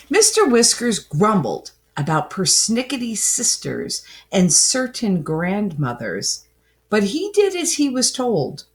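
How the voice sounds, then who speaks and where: A woman reading a children's storybook aloud in an expressive, dramatic way, with asides to young listeners. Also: fairly high pitch. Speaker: picture book reader.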